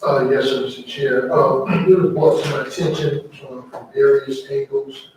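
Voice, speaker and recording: A man, a state representative, speaking politely and haltingly at a public meeting. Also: medium pitch, a little mellow, a little hiss or hum. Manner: halting, many uh fillers, polite. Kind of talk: hesitant formal remarks at a public meeting. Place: large public meeting room. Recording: echoey meeting room from far off.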